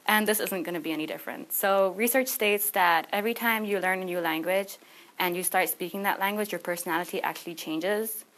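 Young woman, student presenter, slightly low voice, chatty storytelling delivery, small classroom, recorded from a few meters. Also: fairly quick, a bit bright, poor audio. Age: young adult. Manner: conversational, anecdotal. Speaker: student speaker. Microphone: camera a few meters away.

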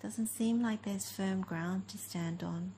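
A woman with a soft-edged, mellow voice guides a meditation. She speaks gently in short phrases, leaving long pauses between them, at a middle pitch. There is faint background noise on the recording. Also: fairly even intonation. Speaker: meditation teacher. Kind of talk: guided meditation, slow spoken instruction.

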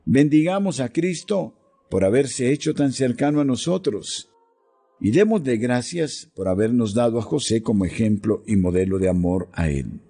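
A man reading a devotional text in a slow, solemn, measured way, pausing between phrases. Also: mid-range voice, lively intonation, breathy edge. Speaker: devotional reader. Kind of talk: slow scripted devotional narration. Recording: soft music underneath.

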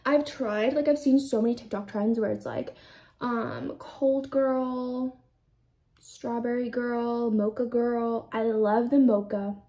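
A young American woman in her twenties chats through her makeup routine in a small room, the camera close in front of her. Her voice sits a little high but sounds soft and mellow. She rambles casually and leans on "like" as she talks. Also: fairly even intonation, slow pace. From United States. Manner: chatty, rambling, heavy use of "like". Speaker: beauty vlogger. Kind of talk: casual get-ready-with-me chat. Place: small indoor room with a plain backdrop.

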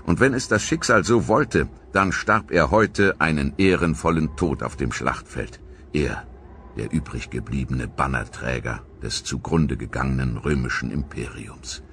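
A man giving dramatic scripted documentary narration with theatrical, deliberate storytelling. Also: very deep voice, creaky, a bit bright, slight background noise.

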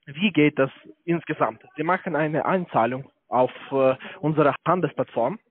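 A man with a foreign accent, not a native speaker, making a hesitant telesales pitch for investments. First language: not German. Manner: halting, many hesitations. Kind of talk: phone sales pitch. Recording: tinny dry phone line.

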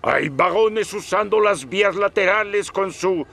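A man voicing a jovial, salty sailor-like locomotive with a gravelly drawl and Latin American accent, in a cartoon dub. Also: high voice, unhurried, bright, forceful tone, full character voice. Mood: jovial, rambling. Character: jovial salty old sailor-like male dock diesel locomotive. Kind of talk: animated children's series dub.

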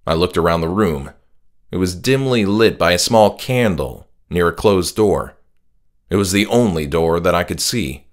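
Low-voiced man narrating horror. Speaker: author and audiobook narrator. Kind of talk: scripted horror narration, first person. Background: music.

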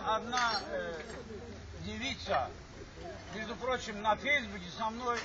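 An older man from Azerbaijan addresses his former classmates in an assembly hall, speaking in a higher voice. He wanders, restarts and repeats phrases as he goes. The recording is muffled and lo-fi, and the audience murmurs throughout. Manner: rambling, repeats himself, stops to restart. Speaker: alumni speaker. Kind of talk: informal speech at a reunion. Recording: dull lo-fi recording with chatter from the audience.

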